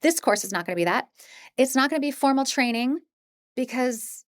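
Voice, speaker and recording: A woman's voice: high voice, animated, a little fast, no echo, clean studio sound. A woman presenting a course in a casual, conversational style, with an informal, encouraging and self-deprecating manner. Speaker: audiobook narrator teaching an introductory course.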